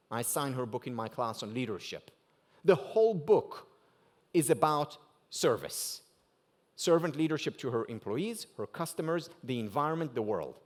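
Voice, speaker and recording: An Israeli man speaking at a gala, his pitch leaping and falling as he drives his points home. He poses questions to the room and answers them himself, with a mid-range voice and a lively, emphatic delivery. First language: Hebrew. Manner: rhetorical questions with strong rises and drops for emphasis. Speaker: keynote speaker. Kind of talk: motivational keynote lecture. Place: banquet hall at a gala dinner.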